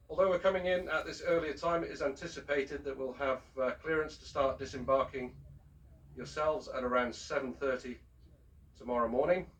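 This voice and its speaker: A man speaking as a ship's captain, giving a formal, measured and courteous announcement over a public address system. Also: medium pitch, fairly bright tone. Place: aboard a cruise ship, heard over the public address system. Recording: distant shipboard PA speaker, picked up from afar.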